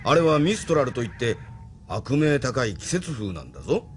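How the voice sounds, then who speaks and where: A man acting a genial, teasing older gentleman with a courtly, knowing manner in a Japanese audio drama. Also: medium pitch, big pitch swings, normal speed, slight background noise, close to an everyday voice. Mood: explaining, cautioning.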